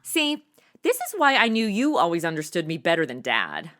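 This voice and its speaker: Woman as a lively, brash wisecracking gal. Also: on the low side, moderate pace. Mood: flippant. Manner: wisecracking, casual, exaggerated. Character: brash, flippant, goofy modern young woman undercover. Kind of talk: comedy audio drama acting.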